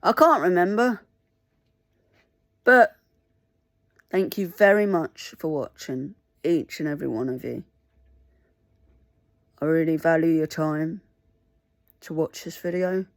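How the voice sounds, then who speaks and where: Slow, gravelly woman vlogger. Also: sits low, expressive, no room sound. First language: Mandarin. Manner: earnest, pleading, halting. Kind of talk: casual talk to camera.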